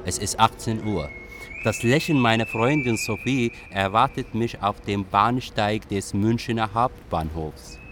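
An actor playing a brooding young Iraqi exile and writer, narrating a literary radio drama in an introspective, deliberate way. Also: male voice, fairly low pitch, a bit bright, slight background noise. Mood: reflective.

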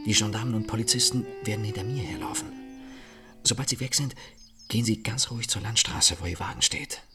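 An actor in a radio drama playing a confident, clever young gentleman adventurer who speaks with romantic assurance. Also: lowish voice, a little fast, over music, natural voice. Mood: resolute.

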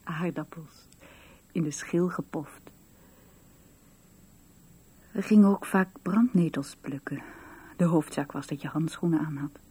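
An actress playing a shy, dreamy woman in a radio drama, with a husky, breathy and hesitant delivery. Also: low voice, fairly even intonation, mellow, full character voice. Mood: reminiscing. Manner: hesitant, halting.

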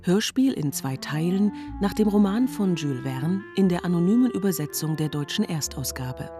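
Lowish-voiced woman announcer over music. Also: expressive, moderate pace. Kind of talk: scripted radio credits announcement.

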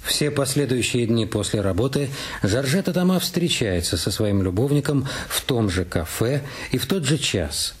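A man's voice: mid-range voice, expressive, normal speed, few pauses, slightly rough. A man reading scripted narration for a radio detective drama. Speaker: radio play narrator and actor.

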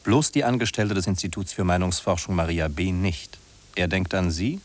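An actor playing a wry narrator in a 1950s German radio drama, addressing listeners directly and theatrically. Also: male voice, low-pitched, moderate pace, natural voice. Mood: storytelling. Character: wry male narrator guiding listeners through a big city. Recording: old radio play from cassette tape.

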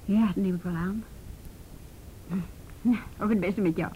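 A woman's voice: steady tone, measured pace, mellow, lightly acted. A woman acting in a naturalistic radio drama as a wry, world-weary police officer with a dry, sardonic manner. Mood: businesslike. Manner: dry, sardonic, mildly swearing. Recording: noisy rumbly radio drama recording.

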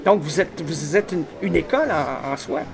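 A man's voice: fairly high pitch, moderate pace, vocal fry. A man in his fifties with a Quebec French accent, hosting a radio interview and asking eager questions that stumble over repeated words. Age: 50s. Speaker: radio host.

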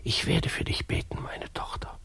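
A man playing a conniving court priest and confessor in a comic radio play, hushed, murmuring and sanctimonious. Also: normal speed, in a whisper, close to an everyday voice. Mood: businesslike.

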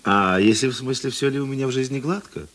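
A man acting a middle-aged, thoughtful and kind police investigator in a Russian radio play, husky and measured. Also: mid-range voice, fairly bright tone, close to an everyday voice. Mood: earnest, thoughtful. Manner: measured, self-deprecating, reflective.

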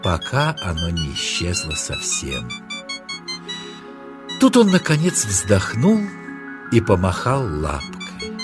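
A man's voice: fairly low pitch, huge pitch swings, slow, pauses often. Male narrator, children's nature tale, hugely varied intonation, tender, music behind. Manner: dramatic, tender. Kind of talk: expressive children's storytelling narration.

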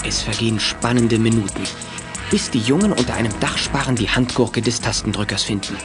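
A man's voice: medium pitch, lively intonation, brisk. A man narrating a children's detective radio play in a scripted storytelling style. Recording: music under the narration. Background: music.

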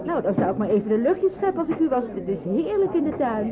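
An actress playing a chatty, kindly hospital colleague in a radio play. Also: female voice, fairly high pitch, very animated, quick pace, a crowd in the background. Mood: friendly, brisk. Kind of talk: Dutch radio play acting. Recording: narrow-band radio play, busy background.

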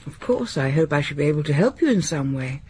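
A composed, guarded middle-class lady in a radio play. Her voice is lowish and measured, with a faint hiss behind it. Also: animated, mellow. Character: reserved, composed middle-class married woman. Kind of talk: 1980s BBC radio drama acting.

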